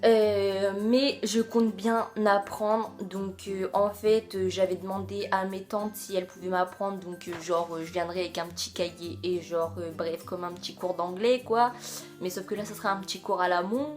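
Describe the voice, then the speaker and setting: A woman's voice: mid-range voice, little pitch movement, normal speed, faint music behind. A young woman with a French accent chatting casually to camera as a vlogger, hesitant and full of fillers. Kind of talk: casual video chat.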